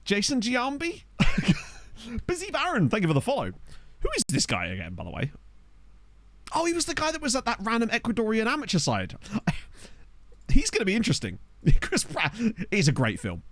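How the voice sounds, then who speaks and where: A chatty man talks his way through a football management game, wandering from thought to thought and chuckling at the players he finds. His pitch bounces around with lots of life. He sits close to a desk microphone in a dry room. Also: wildly swooping pitch, normal speed, long pauses, a bit bright, no room sound. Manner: chatty, rambling, laughs often, reacts aloud to players. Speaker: gaming streamer. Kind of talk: casual gameplay commentary.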